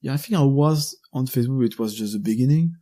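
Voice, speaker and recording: A man in his thirties with a Belgian French accent, a native French speaker chatting in English as a podcast guest, pausing often to search for words. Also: mid-range voice, warm tone. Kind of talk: casual conversational interview.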